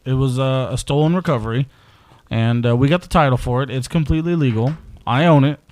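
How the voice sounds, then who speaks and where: A man's voice: mid-range voice, brisk, darkish tone. A man in his thirties hosting a podcast, laid-back and agreeable, mostly giving short replies. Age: 30s. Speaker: podcast host. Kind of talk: casual chat.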